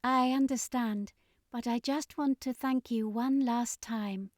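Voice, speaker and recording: Lady doing an earnest alien survivor woman, humble, bit higher voice, sci-fi storybook. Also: steady tone, slow, a little mellow, dry recording, studio quality. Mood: grateful. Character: grateful, remorseful female alien survivor.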